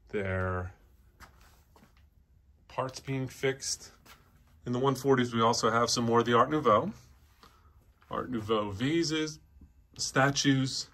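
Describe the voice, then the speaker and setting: A man with an average-pitched voice presents an informal walkthrough. He speaks off the cuff in short, halting phrases, pointing things out as he goes. It is recorded indoors on a handheld device. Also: bright, forceful tone. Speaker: auction house presenter. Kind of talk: unscripted walkthrough narration, pointing out items. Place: indoor antiques showroom, walking around.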